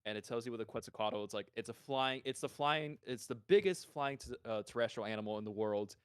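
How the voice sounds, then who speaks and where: A man co-hosting a podcast, talking casually and informally in an animated way. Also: mid-range voice, a little fast, clear, resonant tone, no echo, clean studio sound. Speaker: podcast co-host.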